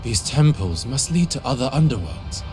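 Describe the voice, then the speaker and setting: Man acting a solemn, humble hero, mid-pitched. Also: big pitch swings, moderate pace, close to an everyday voice. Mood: earnest. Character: solemn, humble, self-sacrificing male chosen hero. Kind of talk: video game cutscene acting. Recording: music under the voice.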